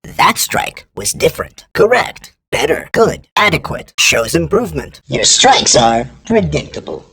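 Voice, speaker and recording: An actor voicing an exacting combat training droid in a video game, formal and impassive, with a gravelly, husky robotic voice. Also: male voice, a little high, big pitch swings, measured pace, few pauses, sharp tone, exaggerated voice. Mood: curt, evaluative. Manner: formal, impassive, precise, instructional. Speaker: combat instructor. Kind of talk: scripted video game tutorial lines.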